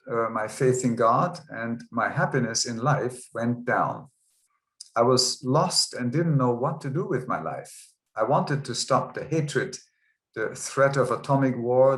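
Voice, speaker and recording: A man recounts his life in an earnest, measured way. His voice sits at an ordinary pitch, and it rings in a roomy, echoey space. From Germany. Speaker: guest. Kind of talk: interview storytelling. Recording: roomy echo.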